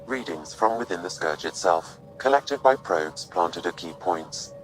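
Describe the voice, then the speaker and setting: A low male computer intelligence from a sci-fi game. He explains in a measured, formal, unemotional way over a clear music score. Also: animated, low-quality recording. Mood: calm, analytical. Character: calm, precise male artificial intelligence assistant. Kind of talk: video game cutscene acting.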